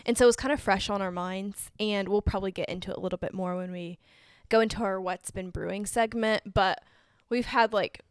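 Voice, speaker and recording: A woman's voice: medium pitch, steady tone, fairly quick, few pauses, clear, resonant tone, no echo, studio quality. A young woman co-hosting a casual podcast, chatting freely with many fillers. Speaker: podcast co-host. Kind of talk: casual chat.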